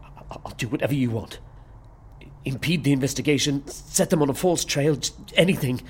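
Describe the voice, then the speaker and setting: A man's voice: mid-range voice, a little fast, slightly rough, lightly acted. An actor playing an anxious, bewildered man trapped in a dream in an audio comedy drama, hesitant and pleading. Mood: desperate pleading.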